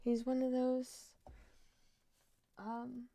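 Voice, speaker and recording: A woman in her thirties with a fairly high voice, talking in an unhurried, rambling way. She chats casually to her stream between asides. At times she softens to a gentle murmur. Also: monotone, creaky. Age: 30s. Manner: rambling and chatty, with frequent laughs and asides; drops to a soft murmur when talking to her pet. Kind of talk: casual stream chat reacting to a true crime case.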